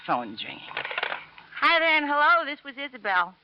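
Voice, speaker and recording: A woman's voice: high-pitched, wildly swooping pitch, normal speed. A woman playing a lonely, flippant, tipsy barroom regular in a mid-century radio crime drama, breezy and slangy. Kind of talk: 1950s radio drama acting. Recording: muffled, hissy old radio recording.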